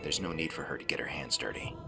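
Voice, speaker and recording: Casting: a weary American commando, rock-bottom rasping growl, dry and clipped, audio drama. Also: male voice, extremely low pitch, expressive, quick pace, in a whisper, exaggerated voice. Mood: low, reflective. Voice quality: very gravelly growl. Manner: terse, dry. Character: gruff, world-weary male special forces operative. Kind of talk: radio drama acting. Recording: music bed under dialogue.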